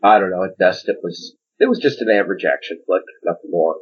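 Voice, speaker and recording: Husky, animated man chatting, lo-fi sound. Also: deep voice, fairly quick. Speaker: podcast co-host. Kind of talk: casual film chat.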